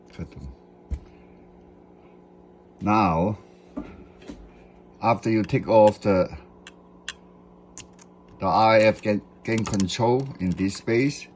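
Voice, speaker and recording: Male tutorial instructor, low register, slow unscripted delivery, key phrases repeated, faint background noise. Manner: repeats short phrases for emphasis. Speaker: instructor demonstrating an electronics installation. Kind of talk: step-by-step hands-on tutorial, unscripted.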